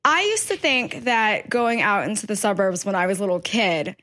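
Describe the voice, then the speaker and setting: A woman in her thirties, born in China and raised in New York, chatting as a painter guest on a podcast, animated, laughing often and restarting her sentences. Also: medium pitch, moderate pace. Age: 30s. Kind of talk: casual interview chat. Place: painting studio indoors.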